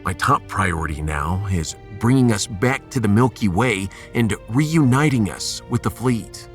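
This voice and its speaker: A man playing a resolute starship captain in a sci-fi audio drama, commanding, earnest and measured. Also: on the low side, lively intonation, faint music behind. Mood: solemn, rallying.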